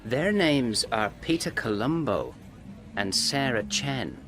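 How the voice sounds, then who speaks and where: Man, Irish accent, slow clear narration, lively pitch, faint background noise. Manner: clear, deliberate delivery. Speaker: narrator of a language-course story. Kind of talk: slow scripted narration for learners.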